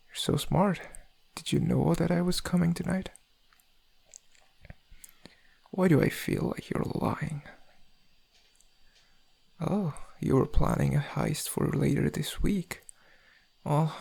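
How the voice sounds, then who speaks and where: Smug, seductive male criminal played by a man for ASMR roleplay; husky, slightly higher-set voice, intimate drawl with menace underneath. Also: a little mellow, heavily acted. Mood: teasing, fond. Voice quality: gravelly, husky. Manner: intimate, teasing, drawling, often dropping to breathy murmurs. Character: suave, menacing male thief, flirtatious and smug.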